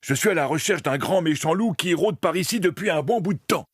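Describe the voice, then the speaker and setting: A man's voice: on the high side, expressive, brisk, husky, no room sound, studio quality. A man voicing a stern but kindly hunter in a children's cartoon fairy tale, firm, concerned and protective.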